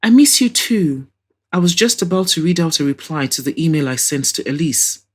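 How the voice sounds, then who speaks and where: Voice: medium pitch, expressive, normal speed, breathy edge. A woman voicing an anxious, hopeful young woman, earnest and confiding, in an audio drama. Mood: nervous.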